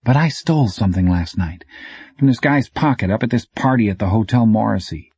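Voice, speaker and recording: A man's voice: lowish voice, fairly quick, darkish tone, slightly rough. Man voicing scrappy, uneasy young female messenger. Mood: confessing. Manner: terse, earnest, streetwise. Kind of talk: audiobook character dialogue.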